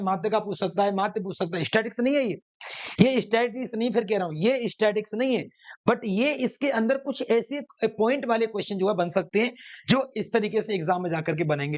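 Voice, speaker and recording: A man teaching an exam coaching class, emphatically repeating phrases and asking his own rhetorical questions. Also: high voice. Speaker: maths teacher running online exam classes. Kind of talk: exam coaching lecture to students. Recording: dull, lo-fi sound.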